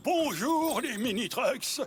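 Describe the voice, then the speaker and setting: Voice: sits high, lively intonation, slow pace, a little hiss or hum. An actor voicing a kindly, jolly old truck mentor in a children's cartoon, jovial, sing-song and encouraging. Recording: loud music behind. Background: loud cartoon music.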